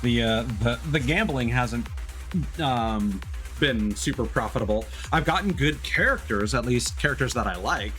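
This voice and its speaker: A man streams a video game with casual, thoughtful commentary. His voice sits at an average pitch as he pauses to reason things out. Faint music plays behind him. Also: animated, fairly bright tone.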